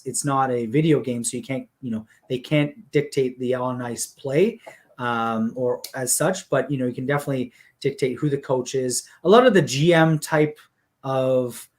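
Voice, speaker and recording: A man talks through a plan in a loose conversational way. He leans on filler words and keeps an even, explanatory flow. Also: medium pitch. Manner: steady, explanatory, many fillers like "you know" and "um". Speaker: guest explaining a hockey league business model.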